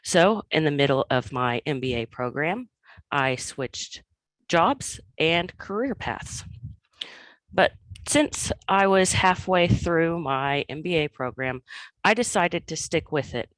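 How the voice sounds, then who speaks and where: Hoarse woman presenting at a conference. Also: sits low, animated, slow, dry recording, low-quality recording. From Oklahoma. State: hoarse, recovering from illness. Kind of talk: prepared presentation.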